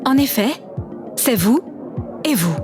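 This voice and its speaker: A woman's voice: fairly high pitch, expressive, slow pace, over music. A woman playing a stern, sardonic investigator in an audio drama, restrained and probing. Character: stern, sardonic female investigator, quietly worried.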